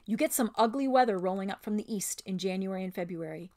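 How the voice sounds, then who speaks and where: A woman's voice: medium pitch, fast talker, a little mellow, lightly acted. A woman voicing an old, weather-wise male sailor in a storybook, deferential and politely offering cautious advice. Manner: polite, advising.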